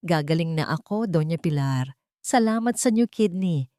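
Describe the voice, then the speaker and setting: A woman's voice: on the low side, animated, normal speed, warm tone, no echo, clean studio sound, natural voice. A woman voicing a sweetly evil, vain villainess in a dramatic audio story. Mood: gloating.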